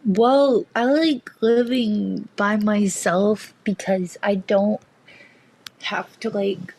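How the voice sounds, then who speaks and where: A woman's voice: mid-range voice, steady tone, extremely slow, a little mellow, poor audio. A young woman chatting as a guest on a video call, shy and nervous, hesitating with many fillers. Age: young adult. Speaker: chat guest. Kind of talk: casual video chat.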